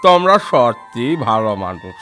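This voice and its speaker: A man playing a humble, warm-hearted washerman in a children's cartoon fairy tale, with a friendly, halting manner. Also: mid-range voice, big pitch swings, over music.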